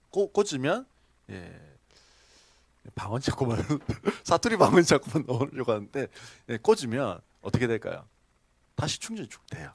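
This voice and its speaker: Lively-voiced man preaching a sermon. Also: mid-range voice, gravelly, breathy edge, no echo. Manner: storytelling with questions to the congregation, laughing asides. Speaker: pastor. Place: church sanctuary.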